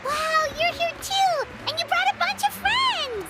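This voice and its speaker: Tiny fairy girl, extremely high squeaky voice, wildly swooping, excitable, game cutscene acting. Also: unhurried, music in the background. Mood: excited. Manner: refers to self in third person, exclaiming. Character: tiny chirpy excitable childlike female fairy companion.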